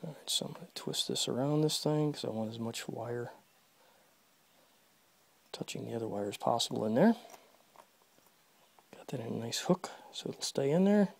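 A man's voice: mid-range voice, animated, normal speed, vocal fry, long pauses. A man giving casual running commentary while he fixes an electric fence in a horse barn, talking to himself and his horses as he works, recorded close on a handheld phone. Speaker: video creator demonstrating fence work. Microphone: phone camera held close, first-person view.

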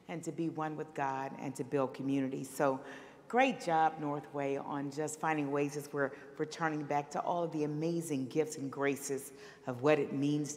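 Middle-aged lady pastor, low and a bit raspy, hoarse from being sick, pulpit mic, long church echo. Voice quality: slightly raspy. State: recovering from illness, hoarse. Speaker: senior minister. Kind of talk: pastor addressing congregation. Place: large church sanctuary, at the pulpit. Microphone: pulpit microphone.

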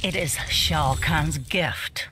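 Casting: a contemptuous game villainess and fanatical assassin, lowish voice, unhurried, over game ambience. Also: female voice, slight background noise, exaggerated voice. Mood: proud. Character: menacing, haughty female blood-mage assassin, fiercely devoted to her master. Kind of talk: video game fighter intro dialogue. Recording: loud game ambience behind.

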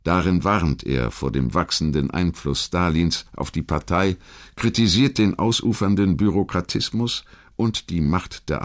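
A man reading scripted historical narration in a measured, articulate and formal manner. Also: a bass voice. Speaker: documentary narrator.

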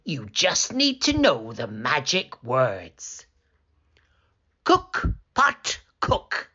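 A man's voice: medium pitch, huge pitch swings, drawn-out words, bright, forceful tone, slightly rough, lo-fi sound, heavily acted. A man voicing a kindly old woman with magic powers in a children's storybook, speaking theatrically. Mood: reassuring, then commanding incantation.

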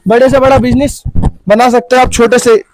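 A man running a shop, giving a repetitive sales pitch as he shows off his goods, recorded in a crowded cosmetics shop on a nearby phone camera. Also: flat delivery, fairly quick, a little hiss or hum, low-quality recording. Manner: repetitive selling patter. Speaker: shopkeeper. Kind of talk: sales pitch showing products.

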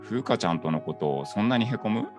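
An actor plays a kindly, easygoing man who keeps a cafe in a voice drama. He sounds gentle and encouraging, with animated pitch. Music is clearly audible behind him. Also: a little fast, muffled recording, natural voice. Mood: gentle, friendly. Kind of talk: voice drama dialogue.

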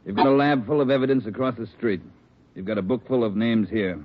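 A man's voice: medium pitch, a little fast, natural voice. An actor playing a firm male police chief who gives his detectives orders in a 1940s radio drama. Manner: firm, directive. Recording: tinny 1949 radio broadcast.

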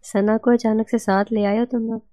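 A woman's voice: fairly high pitch, little pitch movement, normal speed, warm tone, muffled recording, soft background music. A woman in casual, teasing gaming voice chat. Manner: teasing, bantering. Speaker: gamer in team voice chat.

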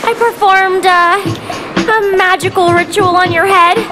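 A teenage cheerleader with a very high, sugary voice and an unhurried valley-girl delivery. She is flippant and sweet in video game cutscene style, with loud music playing behind her. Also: steady tone. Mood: sheepish, defensive. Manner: valley-girl slang, casual and exasperated.

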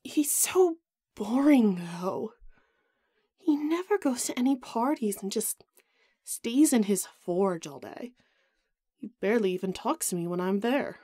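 A woman's voice: a little high, lively intonation, measured pace, pauses often, warm tone, no room sound, clean studio sound. A woman playing a vain, haughty goddess in an audio roleplay drama, melodramatic and put-upon with a whiny edge. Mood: complaining.

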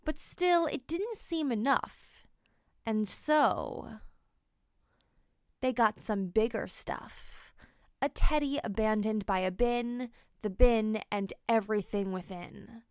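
A woman narrates a children's storybook in a smooth, fairly high voice. She reads at a very unhurried pace, and the recording is dry and close. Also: no room sound. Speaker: storybook reader. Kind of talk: scripted children's read-aloud narration.

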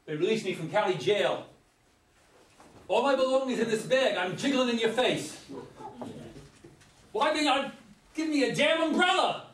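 A volatile drifter fresh out of jail, acted by a man, high and wildly swooping in pitch. He talks loud and folksy with an erratic, menacing edge, as in a play reading picked up from across an echoey room. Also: lively intonation, moderate pace, pauses often, a little hiss or hum. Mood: needling, menacing. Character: loud, excitable, volatile ex-convict hitchhiker man. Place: meeting room, around a table. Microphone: far camera. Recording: distant mic in echoey room.

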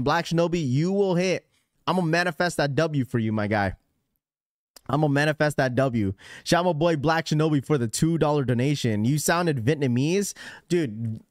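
A young man talks casually into a close studio mic from a small room, joking with his chat and laughing often. His voice sits somewhat high for a man, and it comes through dry with almost no room sound. He rambles and teases freely, sometimes slipping into mimicked voices. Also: no echo. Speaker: sneaker livestream host. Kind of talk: casual live stream chat. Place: small room with blue lighting, indoors. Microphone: studio mic on a boom arm, close.

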